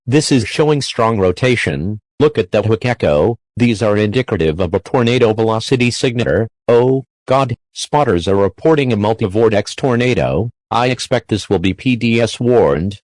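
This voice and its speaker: A man's voice: mid-range voice, a little mellow, no echo, lightly acted. A man playing a worried TV meteorologist, earnest and urgent in scripted live storm coverage.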